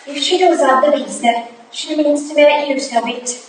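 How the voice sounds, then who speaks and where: A woman's voice: high-pitched, fairly even intonation, moderate pace, lightly acted. A woman playing an earnest young Victorian protégée, emphatic and pleading, in a staged drama reading. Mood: resolved. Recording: very echoey hall from far off, faint noise.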